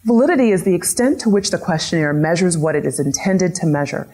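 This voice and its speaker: Woman lecturing, low husky voice, runs through question after question. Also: lively intonation, fast talker, warm tone. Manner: methodical, poses series of questions to audience. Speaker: lecturer on patient-reported outcomes. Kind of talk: academic lecture. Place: lecture room.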